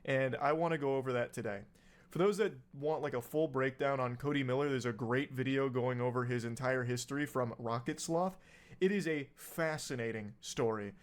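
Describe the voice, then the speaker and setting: A man narrating a scripted commentary video in a sarcastic, energetic storytelling manner. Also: mid-range voice, moderate pace.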